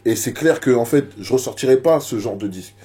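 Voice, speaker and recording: A man's voice: medium pitch, expressive, fairly quick, dark tone. A rapper being interviewed, talking casually and often repeating words while he searches for them. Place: media office.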